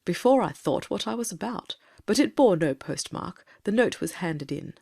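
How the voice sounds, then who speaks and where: A woman's voice: on the low side, lively intonation, moderate pace, vocal fry, warm tone, slightly rough, full character voice. A woman playing a troubled, earnest middle-aged male Victorian doctor in an audiobook drama, formal and fervent. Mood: uneasy. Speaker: audiobook cast reader. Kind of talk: dramatic reading of Victorian fiction.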